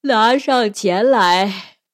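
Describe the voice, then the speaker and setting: A woman's voice: medium pitch, extremely slow, no echo. A woman voicing a lazy, haughty emperor in a storybook tale, with languid, drawling commands. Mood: lazy, imperious. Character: lazy, haughty, pot-bellied emperor, quick to rage.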